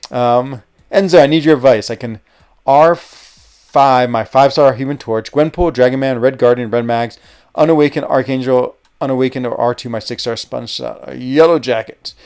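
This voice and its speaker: Smooth-voiced American man streaming casually. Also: mid-range voice, moderate pace, darkish tone. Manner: rambling, chatty, hesitant with fillers. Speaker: gaming livestream host. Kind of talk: casual live-stream chat while playing.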